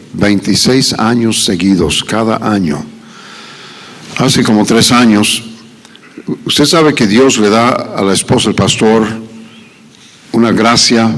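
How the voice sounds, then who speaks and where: A middle-aged man preaching a sermon in a church with a Mexican Spanish accent, pausing on many "ah" fillers and rising to emphatic questions. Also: lowish voice. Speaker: visiting preacher. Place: church sanctuary. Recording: echoey church hall.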